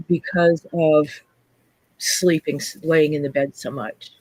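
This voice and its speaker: A woman's voice: low voice, steady tone, slow pace, darkish tone, slightly rough, no echo. Woman host, scratchy sick voice, chatty livestream talk, dry close mic. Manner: chatty, greets viewers by name mid-story. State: scratchy voice from illness. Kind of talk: casual livestream chat.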